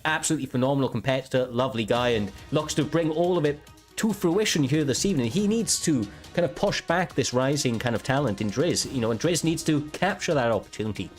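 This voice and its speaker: A man commentating a live fighting game match, excitable and losing his voice. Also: medium pitch, fast. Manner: excitable, swears when surprised. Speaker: esports commentator. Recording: music playing behind.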